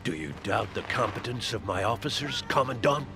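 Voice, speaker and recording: An actor playing a stern, decisive American army colonel in a game cutscene, curt and commanding, with an American accent. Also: male voice, animated, a little fast, gravelly, sharp tone. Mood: firm. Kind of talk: dramatic game cutscene acting. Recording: music and vehicle rumble behind.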